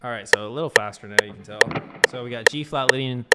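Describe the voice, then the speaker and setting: A man's voice: medium pitch, fast talker, heavy background noise. A man teaching guitar on a casual livestream, talking loosely with lots of fillers. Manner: loose, rambling, full of fillers.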